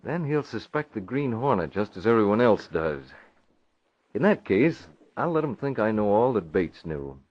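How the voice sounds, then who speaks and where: Deep-voiced, self-assured radio serial hero. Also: male voice, sing-song. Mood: determined. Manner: brisk, self-assured, commanding. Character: confident, determined masked-vigilante hero and man about town. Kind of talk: old-time radio drama acting. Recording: dull lo-fi vintage radio recording.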